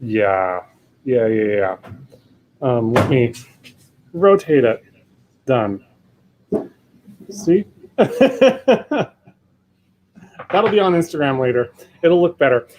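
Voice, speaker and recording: A man's voice: medium pitch, big pitch swings, extremely slow, pauses often, background noise. A man hosting a casual live cooking demo, rambling with lots of fillers and restarts, in a home kitchen. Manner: says he has a loud voice. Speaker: cooking show host.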